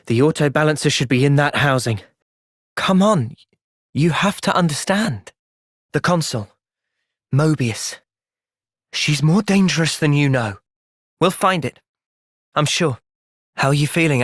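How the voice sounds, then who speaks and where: An actor playing a thoughtful, gentle but resolute young male soldier in a video game, with a British accent and a sincere, measured delivery. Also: a little high, expressive, no room sound, clean studio sound. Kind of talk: video game cutscene voice acting.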